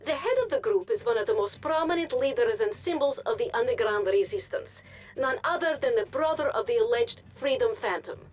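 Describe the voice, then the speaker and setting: A woman playing a polished, formal TV news anchor who reads state propaganda dramatically in a video game. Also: a little high. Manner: formal, dramatic newsreader delivery. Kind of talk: scripted TV news broadcast.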